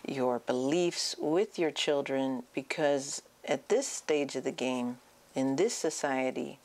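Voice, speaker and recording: Low-voiced middle-aged woman giving advice. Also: gravelly. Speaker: child and adolescent psychiatrist giving advice. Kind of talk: informational talk to camera, addressing parents. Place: small indoor office. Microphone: lapel mic.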